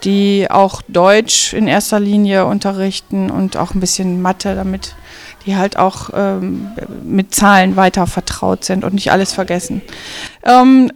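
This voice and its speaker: A woman's voice: medium pitch, darkish tone. A middle-aged woman teacher answering interview questions, explaining patiently.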